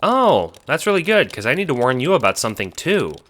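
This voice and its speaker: A man's voice: medium pitch, big pitch swings, a little fast, a bit bright, soft background music, pitched above the performer's own voice. A man playing a cocky, immature teenage internet prankster with a taunting manner in an audio drama. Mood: gleeful, taunting. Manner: taunting, juvenile.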